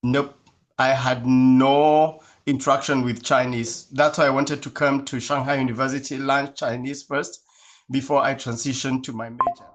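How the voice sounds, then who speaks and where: A man from Kenya who is a guest in an interview and answers casually in a measured, thoughtful way, with many fillers. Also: mid-range voice, little pitch movement.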